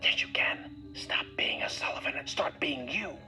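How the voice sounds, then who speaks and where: A man voicing a small, feisty monster in an animated story, earnest and emphatic in his delivery. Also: on the high side, moderate pace, whispered, lightly acted. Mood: urgent, intense. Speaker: character voice in read-along story. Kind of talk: animated film dialogue. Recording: loud music under the voice.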